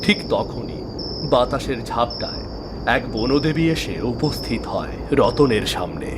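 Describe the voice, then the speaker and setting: A man's voice: mid-range voice, animated, measured pace, very noisy. A man narrating a scripted fairy tale as a storyteller.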